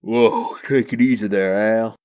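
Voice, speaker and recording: A man's voice: on the low side, steady tone, unhurried, a little mellow, close to an everyday voice. A man playing a joking, chummy vagrant drinking with his pals in a comic dub, with folksy, slangy banter. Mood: jovial, teasing.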